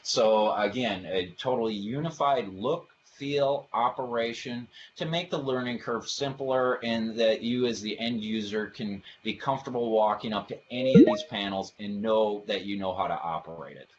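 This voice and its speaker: A man's voice: on the low side, moderate pace, few pauses. A man giving an explanatory product presentation for a webinar, talking through technical slides in informal phrasing. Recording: dull lo-fi audio, faint background noise.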